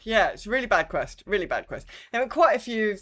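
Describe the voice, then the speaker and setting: A woman's voice: medium pitch, big pitch swings, a little fast, a bit bright. Blunt woman co-hosting a casual podcast. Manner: blunt, exasperated griping with swearing. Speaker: podcast co-host. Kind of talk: casual chat about a video game.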